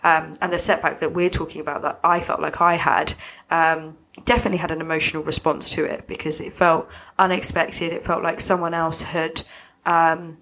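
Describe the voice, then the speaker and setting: A woman co-hosting a podcast, a little sniffly and husky from a cold, explaining her thoughts at length. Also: sits low, flat delivery, moderate pace, fairly bright tone, slight background noise, rough recording. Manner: reflective, talks in long loose explanations. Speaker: podcast co-host. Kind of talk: casual podcast chat.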